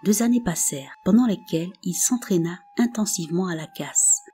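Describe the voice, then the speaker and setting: A woman narrating a folk tale in a calm, measured storybook reading with soft phrase endings. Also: on the low side, husky. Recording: narration over faint background music.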